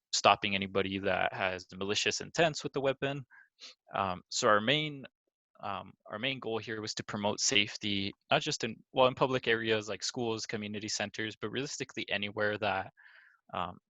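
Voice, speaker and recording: Young male student presenter. Lower-pitched, crisp bright tone, informal delivery, close dry recording. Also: no room sound. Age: young adult.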